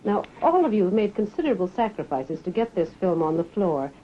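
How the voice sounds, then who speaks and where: A woman's voice: medium pitch, very animated, fairly quick, a little hiss or hum. Polished woman newsreel presenter. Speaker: reporter and presenter. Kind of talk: scripted newsreel narration. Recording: dull 1960s film soundtrack.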